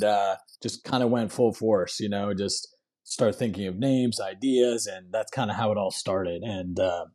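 A man's voice: on the low side, a little mellow. A man from Hong Kong speaking as an interview guest, telling stories in a casual, conversational way. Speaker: podcast guest, entrepreneur.

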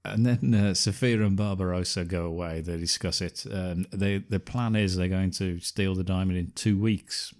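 A man's voice: sits low, steady tone, moderate pace, few pauses, darkish tone. A man co-hosting a film podcast, recapping the plot with wry, sarcastic asides. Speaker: podcast co-host. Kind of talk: casual film review chat.

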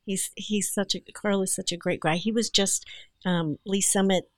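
A woman's voice: mid-range voice, fairly even intonation, moderate pace, no room sound, clean studio sound. A woman speaking as an actress guest in a casual, conversational interview.

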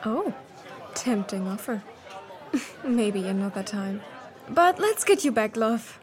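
A woman's voice: fairly high pitch, animated, slow pace, some chatter behind. A woman playing a playful, flirtatious mysterious stranger with a breezy, teasing manner in a fantasy audio drama. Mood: teasing, affectionate. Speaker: guest voice actor.

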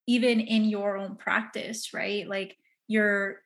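A woman hosting a podcast interview, speaking with gushing enthusiasm and warm praise for her guest. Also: medium pitch, little pitch movement, slow. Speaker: podcast host and coach.

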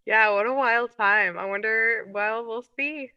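Very bright-voiced woman, slightly lo-fi recording. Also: on the high side, a little slow, clean tone. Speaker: podcast co-host, improviser. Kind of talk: casual chat.

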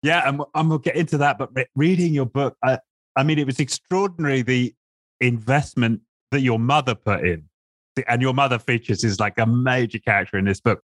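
A man's voice: medium pitch, brisk, fairly bright tone, no echo. A man hosting a podcast interview, asking questions hesitantly, with many fillers and restarts. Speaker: podcast host.

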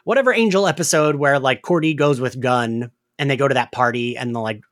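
A man's voice: medium pitch, fairly quick, no echo, studio quality. A man hosting a podcast, casually recapping an episode in informal, chatty speech peppered with "like". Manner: informal, frequent "like" and swearing. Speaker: podcast host.

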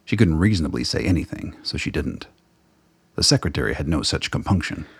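A man's voice: rumbling low, expressive, fairly quick, husky, no room sound. A man reading scripted narration for an audio drama.

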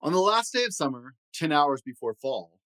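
A man reads a children's storybook aloud as host, his slightly high voice rising and falling freely. The delivery is theatrical and sing-song, with clear emphasis on the rhymes, and the sound is close and dry. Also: big pitch swings, moderate pace, bright, forceful tone, dry recording. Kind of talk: animated read-aloud storytelling.

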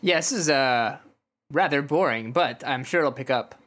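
A man's voice: medium pitch, moderate pace, fairly bright tone. A man co-hosting a comedy podcast, making laid-back, wry remarks. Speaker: podcast co-host. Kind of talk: casual comedic chat.

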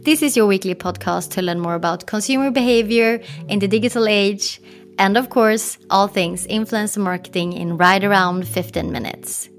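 A woman hosting a podcast reads her introduction smoothly and upbeat, then moves into a friendly conversation with her guest. Her pitch sits in the middle of the usual range. Faint music plays softly beneath her voice. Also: moderate pace. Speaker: podcast host. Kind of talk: scripted intro and casual interview.

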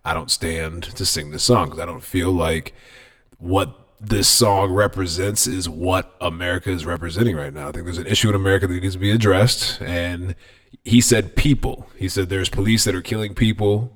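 A man's voice: sits low, normal speed. A man co-hosting a podcast in casual chat, laid-back and rambling, full of "you know". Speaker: podcast co-host.

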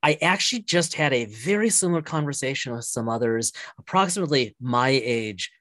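A man's voice: medium pitch, expressive, fairly quick, a bit bright, dry recording. A man in his forties hosting a podcast, chatty and joking while asking long questions. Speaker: podcast host. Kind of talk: casual podcast interview.

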